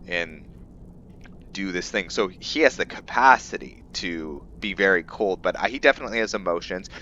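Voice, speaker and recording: Male let's play host, lowish voice, unhurried and halting, casual commentary over faint background noise. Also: sharp tone, muffled recording. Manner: halting, thinking aloud. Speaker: gamer hosting a roleplay let's play. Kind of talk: casual game commentary with character lore.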